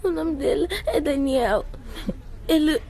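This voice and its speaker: Teenage daughter, radio serial: high voice, unhurried and hesitant, nervous and sorry. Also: female voice, vocal fry, mellow, full character voice. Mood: tearful, ashamed. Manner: hesitant, halting. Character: frightened, apologetic teenage daughter hiding a secret. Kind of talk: radio drama acting.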